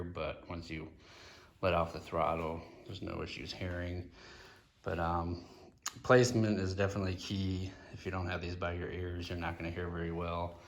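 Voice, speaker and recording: A middle-aged man gives a casual, unscripted product review in a plain-spoken way, often pausing mid-sentence. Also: low-pitched, slight background noise. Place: indoors in a garage or workshop. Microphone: handheld phone or camera, speaker off screen.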